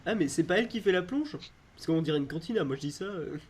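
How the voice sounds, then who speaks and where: High-voiced, playful man playing a role-playing game. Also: animated, quick pace, heavy background noise. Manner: playful, jokey. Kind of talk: casual, joking chat with friends.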